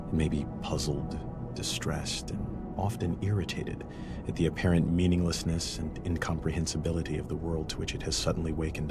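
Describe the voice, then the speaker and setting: A man narrating philosophical passages for a game in a measured, contemplative, solemn way. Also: extremely low pitch. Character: contemplative male reader of philosophical quotations. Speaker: narrator of game audio logs. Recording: music behind the voice. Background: music.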